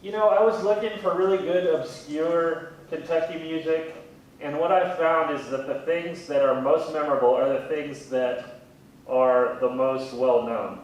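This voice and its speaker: A man in his thirties chatting casually in an interview, with a wry, gently self-deprecating way of talking. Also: steady tone, unhurried. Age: 30s. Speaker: museum communications specialist, interviewee. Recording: very echoey room, distant mic, faint rumbling noise.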